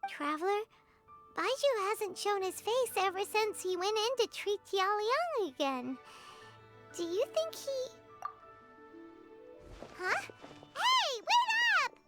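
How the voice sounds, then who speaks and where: A woman voicing a tiny, chatty floating fairy companion in game dialogue, blurting out anxious questions. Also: heavily acted. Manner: quick, high and animated, blurting questions. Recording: soft music underneath.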